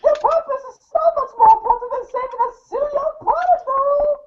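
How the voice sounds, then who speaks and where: A woman voicing a doting, cryptic old grandmother ghost with a strained, squeaky, theatrical voice for a storybook read-along. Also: brisk, highs cut off. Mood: teasing, doting. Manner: theatrical, teasing.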